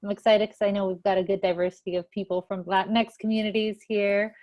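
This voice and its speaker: A woman's voice: medium pitch, little pitch movement, fast, a little mellow. A woman from Mexico, introducing herself on a panel in a friendly, thoughtful way with some "um" fillers, over a video call. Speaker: panelist, product executive.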